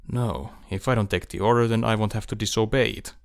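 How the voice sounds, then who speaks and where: A man plays a loyal, self-controlled officer in a fan audiobook. His voice is low and closely recorded. He speaks flatly, quietly defiant. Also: a little fast, vocal fry, no room sound, clean studio sound. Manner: flat, unemotional.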